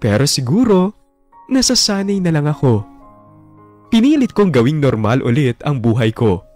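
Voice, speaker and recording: A man's voice: on the high side, sing-song, normal speed, darkish tone, soft background music. A young man narrating his own heartbreak in the first person, in a reflective, wistful way.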